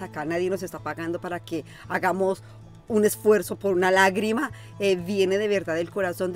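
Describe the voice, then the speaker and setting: A woman's voice: on the low side, darkish tone. A Colombian woman in her forties with a Colombian Spanish accent, co-hosting a music reaction chat in a small room, talking earnestly with many fillers. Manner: emotional, earnest, talks at length with many fillers. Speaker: co-host of a music reaction channel. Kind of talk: casual chat.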